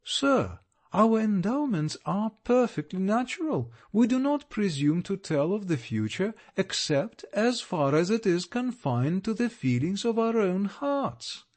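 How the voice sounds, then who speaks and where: Brief: earnest, pleading young woman in a storybook, read by a male narrator, pitched up high. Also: sing-song, a little slow, darkish tone. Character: young woman, earnest and gentle but firm.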